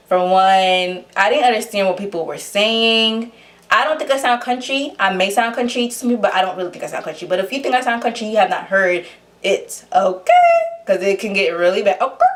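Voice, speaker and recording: Chatty young woman with a New York accent. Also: medium pitch. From Honduras. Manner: chatty, lots of fillers and restarts. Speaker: vlogger. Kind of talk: casual storytelling to camera.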